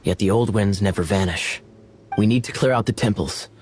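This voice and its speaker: A man's voice: on the low side, normal speed, darkish tone, a little hiss or hum, natural voice. A man playing a suave, teasing, self-assured knight officer in an anime-style video game, wry and theatrical. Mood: wry, intrigued. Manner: smooth-talking, wry, theatrical. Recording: game music behind the voice.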